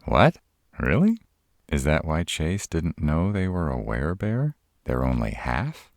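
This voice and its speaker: A man's voice: very deep voice, huge pitch swings, a little slow, creaky, no room sound, pitched above the performer's own voice, exaggerated voice. A man playing a nervous, sarcastic male vampire in a roleplay audio, stammering and hesitant, easily exasperated.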